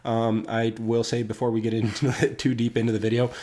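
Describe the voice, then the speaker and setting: A man's voice: mid-range voice, little pitch movement, brisk, a little mellow. A man hosting a game build series, talking casually with a stuffy, congested voice and lots of fillers. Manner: rambling, filler-heavy, friendly address to viewers. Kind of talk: casual gameplay commentary.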